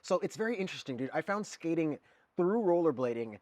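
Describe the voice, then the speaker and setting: A man's voice: fairly high pitch, expressive, fairly quick, darkish tone, dry recording. A man in his forties who grew up in Germany, a guest artist talking casually and rambling, with lots of fillers and "dude". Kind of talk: casual conversation.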